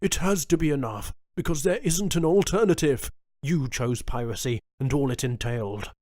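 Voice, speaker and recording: A man voicing a calm, firm, dismissive male pirate lieutenant. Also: mid-range voice, normal speed, no room sound, studio quality, lightly acted. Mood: cold.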